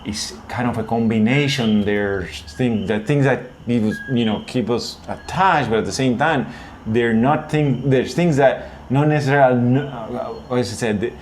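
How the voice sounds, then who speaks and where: A man's voice: mid-range voice, normal speed, slight background noise. A man with a Puerto Rican accent, co-hosting a vlog in casual chat, often searching for words and restarting. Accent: Puerto Rican Spanish. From Puerto Rico. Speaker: vlog co-host.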